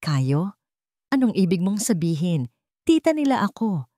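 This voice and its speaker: A woman's voice: on the low side, sing-song, unhurried, warm tone, no room sound, studio quality. A woman voicing a scheming, greedy aunt who feigns concern, calculating and dramatic, in a storybook drama. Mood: feigned alarm.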